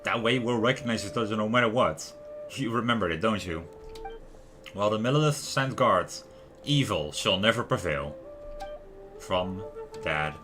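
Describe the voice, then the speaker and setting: A man livestreaming a video game, talking casually and haltingly and reading game text aloud. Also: lowish voice, lively intonation. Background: soft background music.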